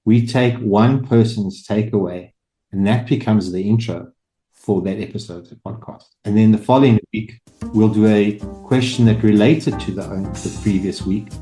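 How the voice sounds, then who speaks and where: Mellow low male voice, roomy. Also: normal speed. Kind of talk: explanatory talk. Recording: roomy sound, faint music behind.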